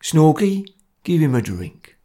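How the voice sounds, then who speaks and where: A man voicing a grim, domineering Cockney ringleader, stern, laconic and gravelly, in a story reading. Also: fairly high pitch, very animated, slow pace, mellow, voice pushed up, exaggerated voice. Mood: stern, bullying. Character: grim, domineering male ringleader of bungling conspirators.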